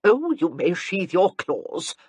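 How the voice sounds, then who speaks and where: A woman's voice: on the low side, very animated, slow, darkish tone, husky, no room sound, clean studio sound, heavily acted. A woman voicing a blunt, commanding elderly aristocratic grandmother in an audiobook. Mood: imperious. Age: older. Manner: imperious, cutting.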